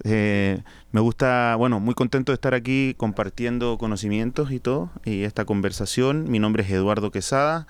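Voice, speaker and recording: A man with a Chilean accent, speaking as a panelist in a discussion, halting with many fillers. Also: on the low side, clear, resonant tone, no room sound. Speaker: panelist, music agency director.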